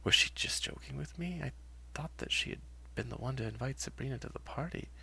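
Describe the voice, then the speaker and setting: A man narrating a first-person horror story in a dramatic, hushed and halting way. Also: medium pitch, creaky, slightly rough.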